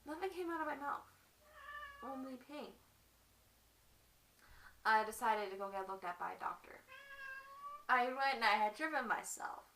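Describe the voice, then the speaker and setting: A young woman performing a dramatic monologue as a lovestruck battered wife, halting and confessional, on a distant mic. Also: a little high, expressive, pushed and bright. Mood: shaken, pained. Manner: halting, confessional, repeats phrases. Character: lovestruck, battered wife recalling her violent husband.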